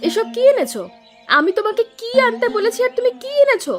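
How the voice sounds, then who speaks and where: A woman's voice: extremely high pitch, very animated, fairly quick, fairly bright tone, soft background music, heavily acted. A woman voicing a nagging, demanding village wife in a cartoon. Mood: angry, scolding. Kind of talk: Bengali cartoon voice acting.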